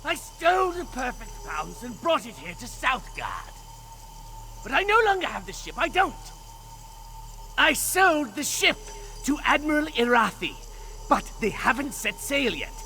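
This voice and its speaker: An actor playing a cowardly, weaselly dark elf thief in a video game, nervous, grovelling and stammering. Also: male voice, very high voice, sing-song, a little slow, faint music behind, exaggerated voice, low-quality recording. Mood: pleading, panicked. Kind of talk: video game character dialogue.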